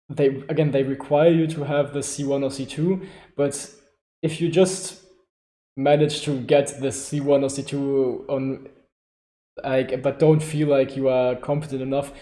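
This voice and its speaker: A man in his twenties with a German accent, chatting loosely on a live Q&A stream, often restarting sentences and laughing. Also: warm tone. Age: 20s. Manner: rambling, self-correcting, laughing often. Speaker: livestream host. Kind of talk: casual live Q&A. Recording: echoey room with low-quality stream audio.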